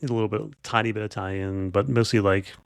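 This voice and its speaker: A man's voice: lowish voice, steady tone, fast, creaky, dry recording, clean studio sound. A man hosting a podcast, chatting casually in an informal, rambling storytelling way. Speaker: podcast host. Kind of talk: casual unscripted podcast chat.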